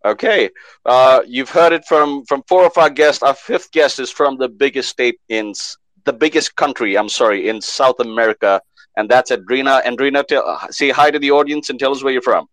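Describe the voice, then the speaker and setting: A man hosting a live online panel show, lively and fond of repeating words for emphasis. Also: medium pitch, moderate pace, dry recording, low-quality recording. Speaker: host.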